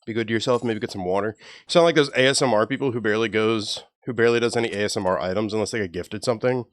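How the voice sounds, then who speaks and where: A young man with a low, even voice, chatting casually and swearing freely with his live stream chat. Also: very fast, no room sound, studio quality. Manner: laid-back, profane, joking with chat.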